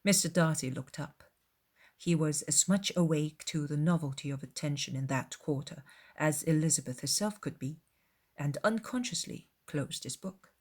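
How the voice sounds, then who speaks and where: A woman reading scripted literary narration as an audiobook narrator, in a measured, formal storytelling manner. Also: deep voice, darkish tone.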